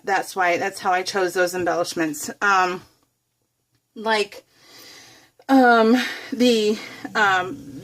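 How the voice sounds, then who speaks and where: A middle-aged woman hosting a craft vlog, chatting casually and rambling as she works, often correcting herself and giggling. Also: mid-range voice. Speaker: craft video host.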